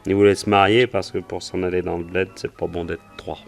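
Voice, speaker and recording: An actor playing an anxious, plain-spoken working man who is a witness in a French radio crime drama, talking in a halting, colloquial way. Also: low voice, level tone, creaky, faint music behind. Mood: explanatory.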